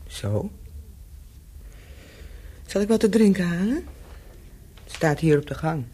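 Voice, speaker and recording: Mellow, lilting worldly woman. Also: on the low side, lively intonation, takes it slowly, husky, heavily acted. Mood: flirtatious, inviting. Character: worldly, flirtatious Parisian woman of the night, wry. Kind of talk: radio play acting.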